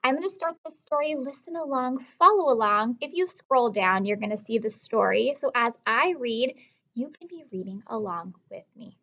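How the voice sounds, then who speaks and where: A woman teacher reading a story aloud to children, expressively, pausing to instruct and question her young listeners directly. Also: a little high, animated, a bit bright, lo-fi sound. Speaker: elementary school teacher.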